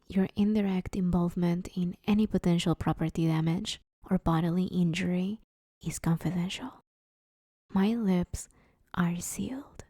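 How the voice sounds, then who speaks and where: A woman's voice: fairly low pitch, dark tone, slightly rough, no room sound, studio quality. A woman playing a broke, sheepish but scheming villain in a conversational comedy audio roleplay, wheedling her neighbor in an awkward, hesitant way. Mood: reassuring, conspiratorial.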